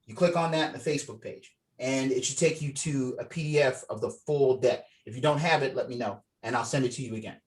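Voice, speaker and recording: A man's voice: mid-range voice, fairly quick, hardly any pauses. A man leading a script read over a video call, talking casually and encouragingly and reading out scene directions. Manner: encouraging, informal. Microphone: video call microphone.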